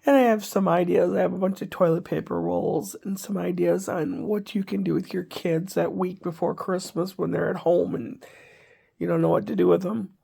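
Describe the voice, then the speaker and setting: Older woman vlogger, congested, gravelly, rambling casual chat. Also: fairly low pitch, fairly even intonation, moderate pace, warm tone. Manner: rambling, chatty, wry asides.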